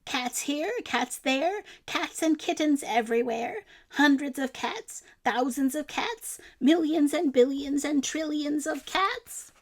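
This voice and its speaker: Exasperated, practical old woman from a storybook, pitched high and emphatic played by a female reader. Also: slow, hardly any pauses. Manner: exclaiming, scolding, emphatic.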